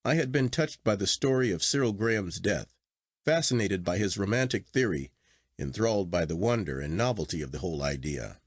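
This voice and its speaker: A man voicing an earnest, formal gentleman in storybook dialogue, emphatic and exclamatory. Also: deep voice, animated, brisk, breathy edge, dry recording, studio quality, heavily acted. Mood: exasperated, insistent. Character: earnest gentleman narrator, contrite then exasperated sceptic.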